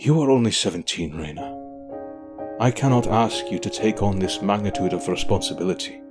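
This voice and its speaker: A man playing a weary, gentle old king in an audio drama, speaking in a formal, measured way. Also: fairly low pitch, animated, over music, natural voice. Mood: weary, grave. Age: older.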